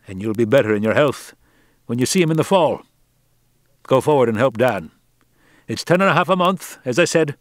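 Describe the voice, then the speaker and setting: A man doing a gruff old fishing captain. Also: mid-range voice, no echo, studio quality, lightly acted. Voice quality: drawling, plain-spoken. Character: gruff older New England fishing captain, stern and slow.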